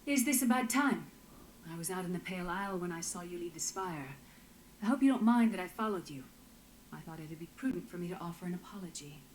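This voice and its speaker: Actress as a low-voiced woman investigator. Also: lively intonation, a little fast, slight background noise, lightly acted, low-quality recording. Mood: apologetic, wry. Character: composed, apologetic female investigator in a fantasy city. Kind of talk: video game voice acting.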